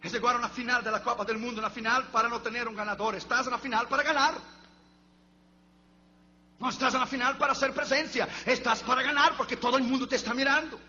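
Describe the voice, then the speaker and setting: Fervent high-voiced preacher man. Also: steady tone, quick pace. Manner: fervent, repetitive preaching that swings between shouting and near-whispers. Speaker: pastor. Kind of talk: sermon. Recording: dull lo-fi recording with faint hum.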